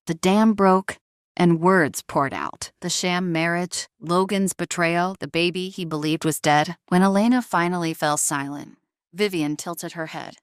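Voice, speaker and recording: Low-voiced woman narrating drama, dry studio sound. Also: a little slow, clear, resonant tone, dry recording. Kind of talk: dramatic scripted audio story narration.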